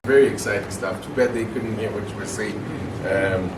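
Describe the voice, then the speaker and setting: A man hosting an event as master of ceremonies, loud, jovial and informal. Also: lowish voice, steady tone, moderate pace, over a noisy crowd. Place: hotel function room. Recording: echoey room from far off, noisy.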